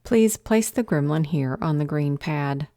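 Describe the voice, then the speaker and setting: A woman playing a stern, bored council official in a fantasy audio drama, deadpan, clipped and sarcastic. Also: deep voice, a little slow, a little hiss or hum. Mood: sarcastic, curt.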